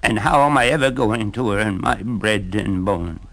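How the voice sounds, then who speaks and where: A man voicing a worn-out old hound who talks in a halting, breathless way. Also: lowish voice, vocal fry, a bit bright. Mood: weary. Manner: panting, halting.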